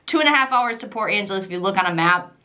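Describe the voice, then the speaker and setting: A woman from Michigan with a Midwestern accent with a Southern trace, telling a travel story to camera in a chatty, rambling way. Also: rapid-fire. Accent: Midwestern American with a trace of Southern. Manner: chatty and animated, lots of fillers and repetition, jumps pitch when quoting herself. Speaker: travel vlogger.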